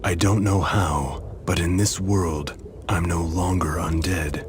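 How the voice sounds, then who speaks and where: A man playing a resigned, calm undead demon warrior in a video game, speaking gravely and solemnly. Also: low voice, slow pace. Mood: weary, resigned. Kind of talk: dramatic video game voice acting. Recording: clearly noisy background ambience.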